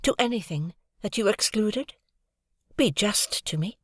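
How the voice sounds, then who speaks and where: A woman voicing a proud, composed young woman who speaks in a measured, cool way, in an audiobook reading. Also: mid-range voice, animated, slightly rough, no echo, studio quality, pitched above the performer's own voice. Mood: defiant. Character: cold proud young woman, calm and reasoning.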